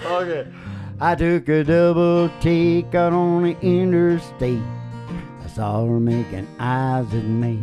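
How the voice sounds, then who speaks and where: A woman with a lowish voice and an unhurried pace hosts a homespun country music show. She is loose and jokey, rambling along in a casual way, with guitar music around her. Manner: jokey, laughs through own remarks. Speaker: radio show host and country singer. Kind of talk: rambling casual banter between acoustic country songs.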